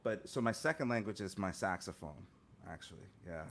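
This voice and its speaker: A man in his thirties speaks earnestly into a studio mic at home, reflective and full of little restarts. His voice sits a bit low. It comes through a video call. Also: animated, brisk. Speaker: guest musician. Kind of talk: conversational interview answers. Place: home music studio, indoors. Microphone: studio mic on stand, headphones, video call.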